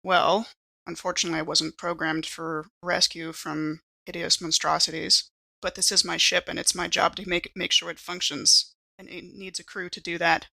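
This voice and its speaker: Woman voicing a deadpan female android, bright and creaky. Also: on the low side, dry recording, exaggerated voice. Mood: calm, resolute. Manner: precise, measured monotone, formal wording. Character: calm, formal female android ship crew member.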